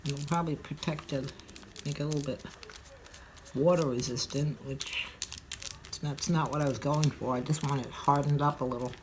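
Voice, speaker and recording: An older woman hosting a craft tutorial, chatting and thinking aloud as she works, sounding a little stuffy and hoarse from a cold. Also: deep voice, steady tone, moderate pace. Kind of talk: relaxed craft demonstration. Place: craft table at home, indoors. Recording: audible crinkling craft noise.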